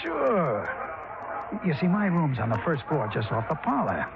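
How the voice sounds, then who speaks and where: A man's voice: fairly high pitch, huge pitch swings, measured pace, gravelly, full character voice. An actor playing a jumpy, irritable male gambler with a blustery, stammering manner in a 1950s radio drama. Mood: confiding. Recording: muffled, noisy vintage radio recording.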